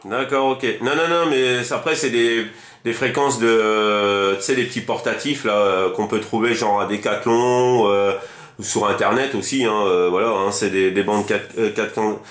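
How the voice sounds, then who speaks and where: A man's voice: fairly low pitch, steady tone, moderate pace, a bit bright, echoey room. A man chatting casually as a radio hobbyist, rambling and enthusiastic, often repeating himself. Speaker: amateur CB radio operator.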